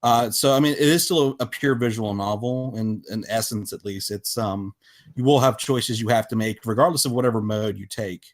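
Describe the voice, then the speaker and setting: A man with a fairly low voice talks casually as an interview guest. His phrasing is halting and hesitant, in the relaxed register of a podcast conversation about his own work. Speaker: podcast guest, visual novel developer.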